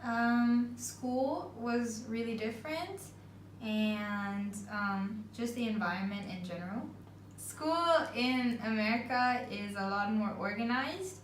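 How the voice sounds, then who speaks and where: A woman's voice: a little high, animated, takes it slowly. Woman, twenties, from Egypt; plain brief interviewee, roomy distant recording with faint noise. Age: 20s. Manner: short, plain answers. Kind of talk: casual interview answers.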